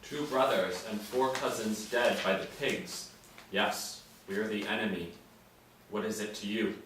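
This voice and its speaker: A man's voice: on the low side, measured pace, natural voice. A man playing a hard, terse spy in a screenplay read, speaking bluntly. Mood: hard, guarded. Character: terse, hard male spy posing as a militant. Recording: very echoey room, distant mic, faint noise.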